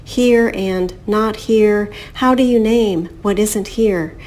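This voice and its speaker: A woman poet reading her own poems in a measured, deliberate way. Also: mid-range voice, darkish tone. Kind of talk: poetry reading.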